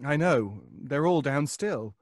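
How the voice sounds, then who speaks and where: An actor playing a nervous, obsessive neighbor in an old-time radio suspense drama, stammering and pleading. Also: male voice, on the high side, lively intonation, takes it slowly, dry recording, studio quality. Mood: insistent, anxious. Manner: stammering, hesitant, breaking off.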